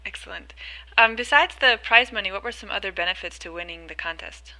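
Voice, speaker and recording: A woman's voice: mid-range voice, brisk, sharp tone, poor audio. A woman interviewing a guest on a podcast, brief and encouraging. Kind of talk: interview questions.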